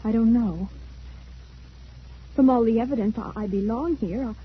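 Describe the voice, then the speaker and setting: High-voiced anxious young woman, old radio. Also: measured pace, slightly rough. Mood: uneasy, hesitant. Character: anxious, earnest young woman, frightened but resolute. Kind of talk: old-time radio drama acting. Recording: dull, faintly hissy old radio recording.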